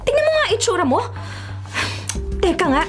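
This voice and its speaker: An actress playing a feisty, expressive young Filipino college woman, a mayor's bratty daughter, in a radio drama. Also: very high voice, normal speed. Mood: scolding, exasperated. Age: young adult. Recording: loud music under the voice.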